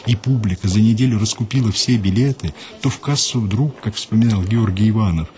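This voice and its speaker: A man hosting a radio program, reading a scripted story in a measured, unhurried way and dropping lower and quieter for quotations. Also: a little hiss or hum. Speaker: radio host and narrator.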